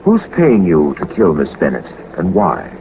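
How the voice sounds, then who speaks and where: An actor playing a suave, unflappable detective with polite, dry understatement, in the acting and narrating style of a 1940s radio drama. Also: male voice, deep voice, wildly swooping pitch, a little slow, heavily acted. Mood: dryly unflappable. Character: suave, unflappable male detective, dryly witty. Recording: dull, very noisy 1940s radio recording.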